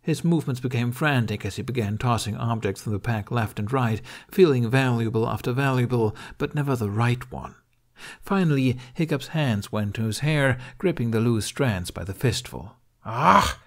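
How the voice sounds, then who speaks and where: A man's voice: medium pitch, normal speed, darkish tone. A man reading scripted fiction as an audiobook narrator.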